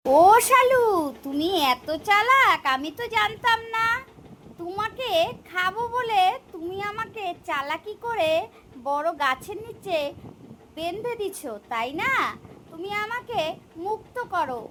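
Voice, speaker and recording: A woman voicing a hungry, gullible tiger in an animated children's story, bossy but easily scared, with drawn-out delivery. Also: extremely high pitch, slight background noise, full character voice. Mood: pleading.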